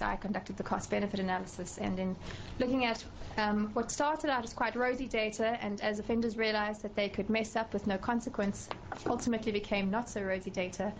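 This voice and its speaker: A middle-aged woman with a South African accent, a professor testifying before a committee in a measured way, with frequent fillers and restarts. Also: medium pitch, a little hiss or hum, rough recording. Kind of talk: formal testimony.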